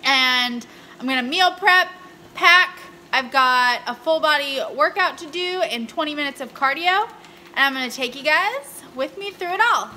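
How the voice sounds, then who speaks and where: A woman's voice: high-pitched, expressive, normal speed, bright, forceful tone, a little hiss or hum. A young woman chatting casually to camera as a fitness vlogger, upbeat and informal, recorded in a room at home. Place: indoors at home, kitchen and bedroom. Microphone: camera or phone a short distance away. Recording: roomy echo of home interior.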